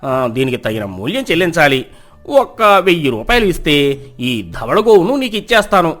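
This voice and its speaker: A man's voice: fairly high pitch, big pitch swings, moderate pace, a bit bright, faint music behind. A man voicing a cunning, lazy village trickster in a folk tale, boastful and persuasive in his talk. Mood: persuasive, pious.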